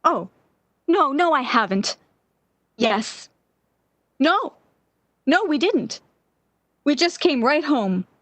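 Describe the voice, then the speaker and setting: A woman playing a distraught, obsessive lovesick woman in a 1940s-style radio drama, with an intense, halting, theatrical delivery. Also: high voice, very animated, a bit bright, dry recording, full character voice. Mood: anxious.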